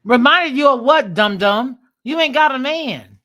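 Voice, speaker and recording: A woman's voice: high-pitched, expressive, unhurried, fairly bright tone. A woman commenting on a dating show in a blunt, mocking tone. She takes her time and drags her words out. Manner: sassy, incredulous, blunt. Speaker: reaction commentator.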